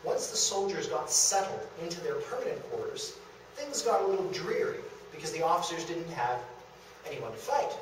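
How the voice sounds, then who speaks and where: A man's voice: a little high, animated, normal speed. Man lecturing from across an echoey room. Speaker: lecturer presenting a history talk. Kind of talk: illustrated public lecture. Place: indoor meeting room of a historic house. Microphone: camera across the room, far from speaker. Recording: echoey room, distant, faint hiss.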